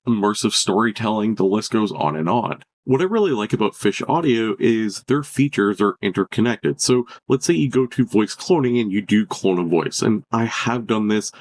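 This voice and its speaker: A man with a fairly low voice presents a tech tutorial. He talks informally and off the cuff, with a chatty, enthusiastic manner as he explains each step. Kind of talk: casual unscripted software walkthrough.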